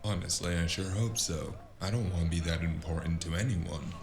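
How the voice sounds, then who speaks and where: A man's voice: low voice, moderate pace, gravelly, noisy background. A man playing a wry, world-weary drifter who narrates a cyberpunk noir audio drama in a sardonic way. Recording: music behind the voice.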